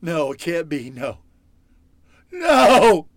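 An actor playing a panicked, foul-mouthed captive in old-time radio horror style, halting and melodramatic. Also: male voice, on the high side, wildly swooping pitch, no room sound, heavily acted. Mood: bewildered, horrified. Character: panicked, foul-mouthed captive man pleading and scheming to escape.

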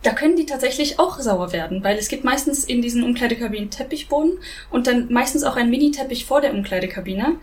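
A young woman explaining things in a relaxed, giggly casual chat. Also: on the high side, fast talker, slight background noise. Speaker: co-host. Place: living in Japan.

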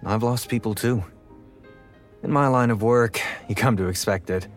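A man's voice: medium pitch, moderate pace, soft background music, lightly acted. An actor playing a world-weary but sympathetic 1930s American policeman in a noir audio drama, with a casual, suave manner and an American accent. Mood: somber.

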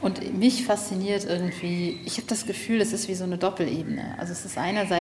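A woman's voice: lowish voice, steady tone, fairly quick, a little mellow. A woman interviewing casually and reflectively, with tentative, searching phrasing. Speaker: interviewer, visitor on location. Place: at a castle, reverberant. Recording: echoey, long reverb, noisy ambience.

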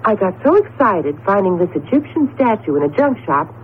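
Casting: actress as animated American wife, affectionate and wry, expressive 1970s radio drama style, noisy narrowband recording. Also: female voice, mid-range voice, brisk, close to an everyday voice. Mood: playful. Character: lively, teasing, affectionate wife of a professor.

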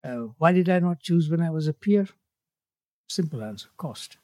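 A man in his eighties from Tanzania, a guest in an interview, speaking in a measured way with his voice falling away at the ends of phrases. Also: a little high, animated, mellow, no echo, clean studio sound. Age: 80s. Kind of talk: reflective interview conversation.

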